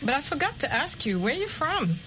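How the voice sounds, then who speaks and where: A woman hosting an English lesson show, explaining simple words deliberately and chatting with guests. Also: sits high, very animated, pushed and bright, soft background music. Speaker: host and English teacher. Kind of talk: slow, clearly explained language lesson chat.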